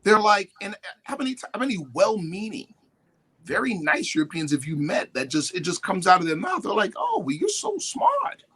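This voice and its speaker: An emphatic man explaining his points in a lively voice that swings widely in pitch. His tone is slightly husky, and he sits a little high for a man. He keeps pressing his points and mimics other people as he talks. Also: normal speed. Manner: animated, emphatic, quotes definitions and mimics others. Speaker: guest speaker. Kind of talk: lecturing talk-show discussion.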